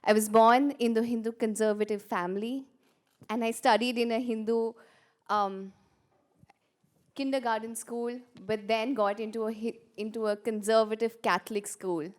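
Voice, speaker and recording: A woman from India gives a personal introduction at a conference. Her voice sits fairly high, and she speaks earnestly, in a measured way with small pauses. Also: steady tone. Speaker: peace educator.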